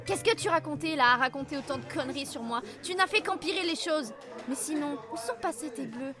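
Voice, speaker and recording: A woman's voice: high voice, fairly quick, music in the background, close to an everyday voice. A woman voicing a blunt, hot-tempered but loyal schoolgirl in a fan-dubbed cartoon. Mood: bitter. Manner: blunt, sweary, indignant. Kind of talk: fan-dub cartoon acting.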